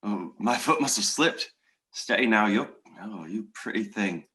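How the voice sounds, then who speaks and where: Guy reading a hunter sweet-talking a unicorn, slow soft coaxing, play read. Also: lowish voice, expressive. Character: coaxing male hunter trying to catch a unicorn. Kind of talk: table read of a stage play.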